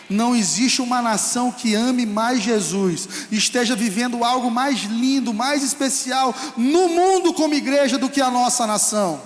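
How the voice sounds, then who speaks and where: A male pastor with a Brazilian Portuguese accent preaching a fervent sermon that rises to shouts. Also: extremely high pitch, slight background noise. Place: church hall. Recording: echoey hall with long reverb.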